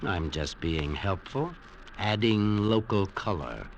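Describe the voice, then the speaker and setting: An actor playing a sardonic, teasing painter on a resort island, dry and needling in a vintage radio drama style. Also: male voice, lowish voice, slow, dull audio. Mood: sly. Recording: old radio recording with faint noise.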